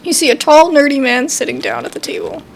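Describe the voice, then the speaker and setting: A woman's voice: sits high, fast, gravelly, background noise. A woman reading a game's narration aloud and adding casual commentary as she plays. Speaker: gamer playing and reading a game aloud.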